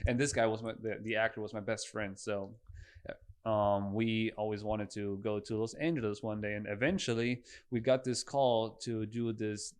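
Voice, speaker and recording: Male podcast guest, German accent, low clear voice, relaxed conversational storyteller. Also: normal speed.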